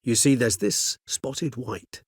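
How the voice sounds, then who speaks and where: An actor playing a courteous, erudite old male vampire in a video game, speaking in eloquent, formal, measured phrases with wry philosophy. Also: medium pitch, breathy edge, no echo, clean studio sound. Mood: courteous, explanatory. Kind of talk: video game character dialogue.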